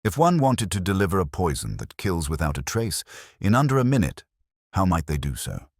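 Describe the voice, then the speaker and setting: A man's voice: sits low, sing-song, fairly quick, no echo, voice pushed up. A man voicing a precise, commanding male detective with dry wit and a clipped manner in an audiobook. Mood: incisive. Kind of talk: audiobook character dialogue.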